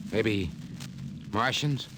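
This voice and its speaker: An actor playing a brisk, informal, wisecracking rocket crewman in an old radio drama. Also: on the low side. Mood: joking, chatty. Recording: very noisy old radio broadcast.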